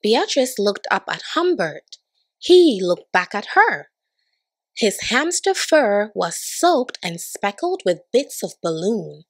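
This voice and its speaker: A woman giving an expressive children's storybook read-aloud, putting on voices for the characters. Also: medium pitch, takes it slowly, no echo. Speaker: storybook reader.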